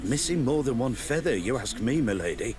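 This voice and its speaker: A man's voice: mid-range voice, big pitch swings, fairly quick, background noise, close to an everyday voice. An actor playing an anxious, evasive guard in a video game, stammering and deferential as he reports to his superiors. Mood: nervous, explanatory. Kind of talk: video game dialogue acting.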